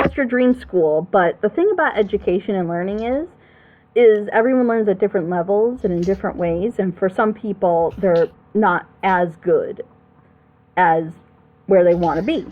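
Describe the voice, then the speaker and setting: A woman's voice: mid-range voice, lively intonation, moderate pace, narrow, tinny audio. A woman commentating in a casual, opinionated chat, emphatically repeating her points. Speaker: reaction show commentator.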